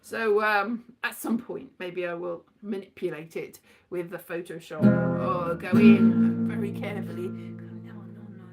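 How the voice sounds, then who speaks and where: A woman's voice: on the low side, steady tone, unhurried, over loud music. A woman in a casual, rambling monologue, playful and scatty, wandering off on tangents and repeating her words. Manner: playful, acts out quotes in put-on voices.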